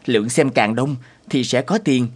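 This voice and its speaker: A man voicing a polite, patient young man who explains things in an animated cartoon. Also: medium pitch, normal speed, lightly acted.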